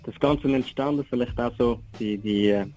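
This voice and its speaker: A man's voice: medium pitch, moderate pace, darkish tone, rough recording. A man with a Swiss German accent giving a casual interview as a rapper, talking loosely with English slang mixed in. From Aargau, Switzerland. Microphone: telephone.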